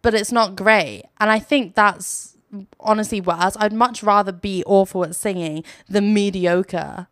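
A woman's voice: mid-range voice, no echo, clean studio sound. A young woman hosting a casual solo podcast from her sofa, chatty and self-mocking, laughing often as she talks. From Philippines. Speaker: podcast host. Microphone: phone.

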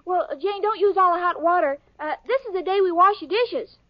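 An actress playing a sweet, dim-witted young woman in a 1940s radio sitcom, wide-eyed and breathy. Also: extremely high pitch, fairly quick. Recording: dull old radio broadcast, audience laughter, hiss.

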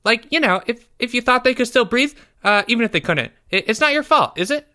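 A man voicing a nervous, guilty young schoolboy in a story, stammering and evasive in halting, hurried bursts. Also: very high-pitched, lively intonation, sharp tone, pitched above the performer's own voice, heavily acted. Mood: anxious, evasive.

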